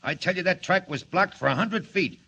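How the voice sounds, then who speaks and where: An actor playing a gruff, stubborn henchman in 1940s radio style, with a rough, slangy, protesting manner. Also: high-pitched, moderate pace, bright, forceful tone, muffled recording, a little hiss or hum, full character voice. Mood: sullen, insistent. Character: gruff, bewildered male henchman, stubborn and plain-spoken. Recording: old radio recording with music behind.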